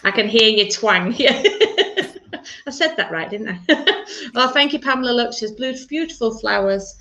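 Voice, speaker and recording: A woman's voice: on the high side, lively intonation, normal speed, pushed and bright, dull audio. A woman with a Yorkshire accent hosting a church livestream, chatty and rambling, laughing often. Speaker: church service host.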